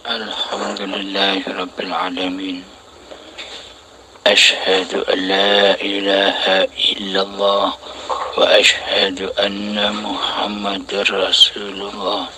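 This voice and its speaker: A man acting as a religious teacher, measured and solemn, opening with prayers before answering a caller. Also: lowish voice, pushed and bright, slight background noise, poor audio.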